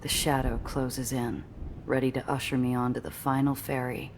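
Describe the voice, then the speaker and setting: Very low-voiced, gritty noir heroine. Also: female voice, little pitch movement, normal speed, a little hiss or hum. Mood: tense, wry. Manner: hardboiled, dramatic delivery. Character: gritty, defiant female noir adventurer, narrating her own peril. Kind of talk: scripted hardboiled noir first-person narration.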